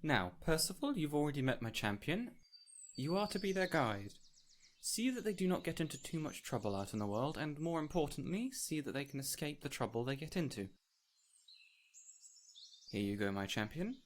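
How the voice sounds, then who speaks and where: A man playing a gentle, whimsical fey spirit in an audio roleplay, speaking in a theatrical, formal, old-fashioned way straight to the listener. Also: on the high side, very animated, normal speed. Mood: courteous, wry, then grateful, tender. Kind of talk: intimate audio roleplay, addressing the listener directly. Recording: clear ambient noise with birdsong. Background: birdsong and forest ambience.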